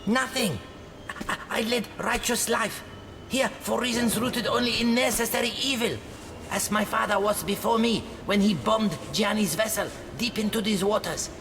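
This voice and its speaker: A dying Tibetan monk in a video game, righteous and embittered. His high voice delivers stilted, halting English theatrically over a loud rumble. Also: steady tone. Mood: defensive. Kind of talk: dramatic video game cutscene acting.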